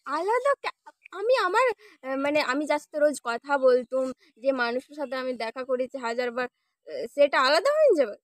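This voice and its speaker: A woman's voice: high-pitched, big pitch swings, brisk, dry recording. A young woman playing a hurt, insistent girlfriend in a prank phone call, pleading and accusing her boyfriend. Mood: indignant, hurt. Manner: plaintive, accusing, persistent questioning. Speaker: prank caller.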